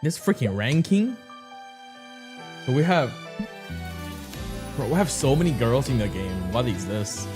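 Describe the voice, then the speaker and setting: A man's voice: medium pitch, expressive, measured pace, pauses often. A man from China streaming, chatting casually and reacting aloud as he plays. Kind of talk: casual livestream chat. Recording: stream with music behind.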